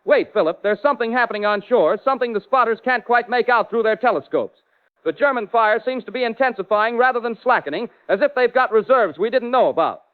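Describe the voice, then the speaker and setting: Shaken 1940s American war reporter, male actor: high voice, urgent, dramatic, thin phone-like radio transmission. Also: expressive, a little fast, clear, resonant tone. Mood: alarmed, horrified. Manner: urgent, dramatic, florid eyewitness delivery. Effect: radio transmission filter. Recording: tinny, narrow wartime radio link.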